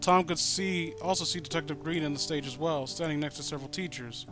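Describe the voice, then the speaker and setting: A man with a slightly high voice does a comedic read-aloud full of ranting commentary. He is profane and exasperated and often stumbles over his words. Soft music plays behind him. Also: fairly even intonation, fairly quick. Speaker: host reading and riffing on a fan-written horror story.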